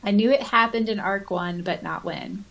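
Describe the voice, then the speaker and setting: A woman with a medium-pitched voice co-hosts a fan podcast. She reads comments aloud and chats casually about them, expressive and informal in her delivery. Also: normal speed, low-quality recording. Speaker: podcast co-host.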